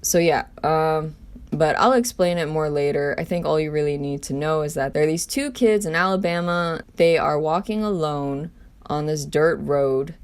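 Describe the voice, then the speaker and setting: A woman's voice: low-pitched, normal speed. A woman casually presenting her own writing, hesitant and self-deprecating. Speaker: writer presenting own scenes. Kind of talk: casual chat and script reading.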